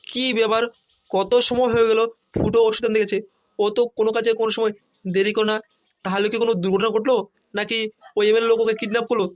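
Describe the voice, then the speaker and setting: A man's voice: high-pitched, little pitch movement, fast, pauses often, muffled recording, lightly acted. A man voicing a worried, fretful young fellow in a comic cartoon, full of questions. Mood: worried, impatient. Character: worried young male friend waiting anxiously.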